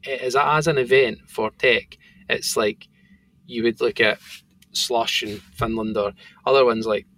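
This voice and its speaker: A man's voice: medium pitch, steady tone, a little slow, fairly bright tone, no echo. A man with a thick Scottish accent, a company founder talking casually as a podcast guest, rambling with lots of fillers. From Scotland. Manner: rambling, filler-heavy storytelling.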